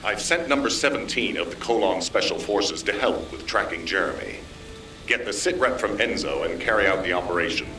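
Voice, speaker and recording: An actor playing a stern, weary military commander in a game, briefing with intense, duty-bound authority. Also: male voice, lively intonation, fairly quick. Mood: commanding. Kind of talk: scripted game dialogue. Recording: faint rumbling background noise.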